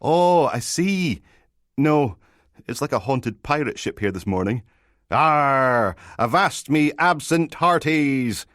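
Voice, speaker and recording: A man's voice: medium pitch, animated, slow pace, a bit bright, no echo, studio quality, pitched above the performer's own voice. A man voicing an eager, sheepish young male police constable in an audiobook, chirpy, hesitant and deferential. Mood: chatty.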